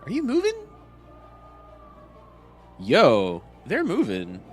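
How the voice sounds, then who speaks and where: A man's voice: on the high side, wildly swooping pitch, slow pace, faint music behind. A man with a stuffy nose giving casual, chatty commentary while playing a game, swearing and incredulous.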